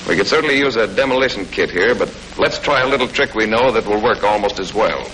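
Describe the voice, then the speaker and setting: Bright-voiced American man narrating 1940s training film. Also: fairly low pitch. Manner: brisk, matter-of-fact instruction with folksy colloquial touches. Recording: noisy old 1940s film soundtrack.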